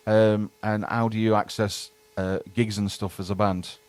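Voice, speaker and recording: A man's voice: fairly low pitch, flat delivery, unhurried, a little mellow, clean tone, dry recording. A man, hosting a casual radio interview and asking chatty, rambling questions. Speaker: radio presenter. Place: radio studio.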